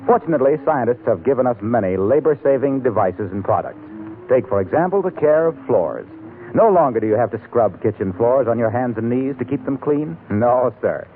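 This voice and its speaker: A man announcing a scripted sponsor message in 1940s radio style. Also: mid-range voice. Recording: tinny, noisy 1940s radio recording.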